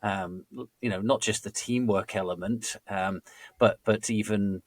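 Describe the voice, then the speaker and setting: A man hosting a podcast interview, speaking haltingly with many restarts. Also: on the low side, fairly even intonation, no room sound. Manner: hesitant, many restarts and fillers. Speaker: podcast host, recruiter.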